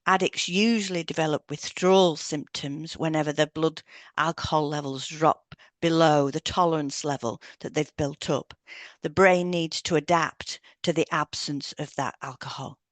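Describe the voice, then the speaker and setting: A woman reads scripted educational podcast narration. Her voice sits a little low for a woman. She explains points step by step, and the sound is dry, with no room around it. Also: fairly even intonation, normal speed, dry recording. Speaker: podcast co-host, hypnotherapist.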